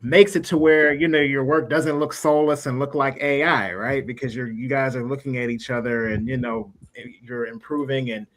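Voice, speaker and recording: A man's voice: mid-range voice, steady tone, moderate pace, few pauses, rough recording. A man hosting a casual interview, talking in an encouraging, conversational way. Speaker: interview host.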